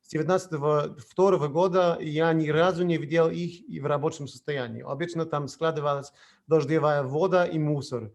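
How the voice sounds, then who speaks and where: A man moderating an online Q&A, reading questions aloud in halting Russian and then English. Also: a little high, steady tone, a little mellow. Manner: apologetic, out of practice in Russian. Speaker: event moderator.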